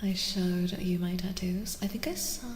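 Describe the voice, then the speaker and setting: A woman streamer speaking in soft, soothing ASMR, drifting between a breathy whisper and a hushed voice, close to the microphone. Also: lowish voice, unhurried, roomy sound, a little hiss or hum. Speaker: streamer hosting a live tarot reading.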